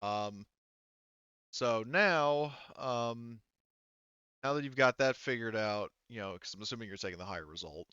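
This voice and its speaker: A man's voice: medium pitch, measured pace, sharp tone, clear, resonant tone, no echo, dull audio. A man acting as game master in a casual online tabletop session, rambling and thinking aloud as he narrates. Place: online voice call.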